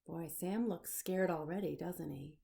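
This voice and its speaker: Slow-paced woman reading to young children. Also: lowish voice, creaky, a little hiss or hum. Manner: expressive, explains and asks the listener questions. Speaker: children's librarian storyteller. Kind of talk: picture-book read-aloud for young children.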